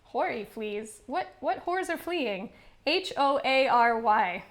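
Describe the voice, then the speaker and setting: A woman's voice: sits high, animated, slow pace. A young woman, a classical singer, chatting casually and laughing at herself while practicing on a stream. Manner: chatty, self-mocking, laughs often.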